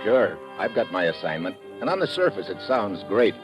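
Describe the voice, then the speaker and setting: A tough American government agent from a 1950s radio adventure. His low voice swings widely in pitch as he delivers wry, hard-boiled lines. Music plays in the old broadcast. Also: sing-song, moderate pace, lo-fi sound. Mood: businesslike. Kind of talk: 1950s radio drama acting and first-person narration.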